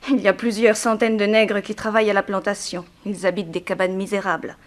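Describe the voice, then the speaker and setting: Young female, played by an actress: mid-pitched, earnest, plaintive orphaned daughter, restrained sorrow, old radio drama style. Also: level tone, quick pace, lightly acted. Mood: bitter, sorrowful. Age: young adult. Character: sorrowful young daughter of a harsh plantation owner. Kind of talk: 1960s French radio drama acting.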